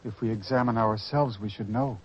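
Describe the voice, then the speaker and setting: A man playing a gentle, conciliatory disciple in a radio drama, mild and reasoning in manner. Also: lowish voice, steady tone, fairly quick, breathy edge. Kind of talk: old-fashioned radio drama acting. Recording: dull lo-fi radio drama recording.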